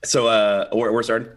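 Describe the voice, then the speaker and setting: A man performer in casual, informal chat. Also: fairly low pitch.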